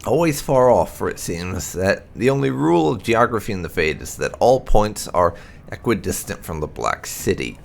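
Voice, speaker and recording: A man hosting a gaming video, reading lore entries aloud in a theatrical, expressive way with occasional asides. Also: on the low side, normal speed, gravelly, a little hiss or hum.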